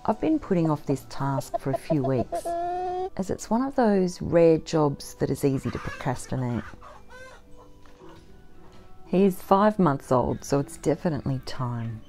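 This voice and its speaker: A middle-aged woman speaks in a low, warm, unhurried voice, calm and matter-of-fact as she narrates her homestead chores. It is a scripted voice-over with soft instrumental music playing faintly underneath. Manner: gentle, measured and matter-of-fact. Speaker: homesteading vlogger.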